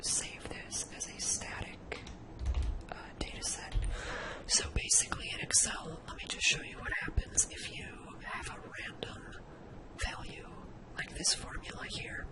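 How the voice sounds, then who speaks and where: An ASMR host who whispers the whole lesson with no real voicing. The delivery is breathy and instructional, walking through software steps one at a time. The whispered tone shifts noticeably up and down from phrase to phrase. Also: very high-pitched, big pitch swings, a little slow, rough recording. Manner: explains step by step, instructional.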